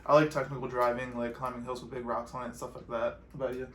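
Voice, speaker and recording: A man's voice: mid-range voice, level tone, quick pace, fairly bright tone. A young American man co-hosting an off-road vlog, reading out questions and giving practical, matter-of-fact answers in casual chat. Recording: roomy echo with some background noise.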